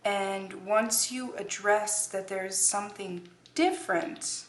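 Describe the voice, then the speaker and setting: A woman's voice: mid-range voice, slow pace, slight background noise. A woman giving a casual tarot reading to her viewers. She rambles and often restarts her phrases. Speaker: tarot reader.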